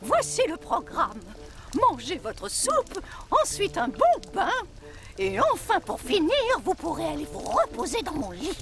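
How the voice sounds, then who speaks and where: A woman's voice: extremely high pitch, wildly swooping pitch, measured pace, heavily acted. A woman playing a gloating, commanding old witch in a French cartoon dub, with a theatrical delivery. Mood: falsely sweet. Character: gloating old witch posing as a kindly grandmother. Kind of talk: French cartoon dub acting, partly sung. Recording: loud music behind the voice.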